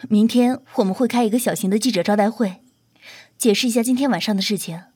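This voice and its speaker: A woman's voice: medium pitch, quick pace, darkish tone, slightly rough, no echo, natural voice. A woman playing a courteous, protective celebrity manager in an audio drama, with a formal, polite and composed manner. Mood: businesslike.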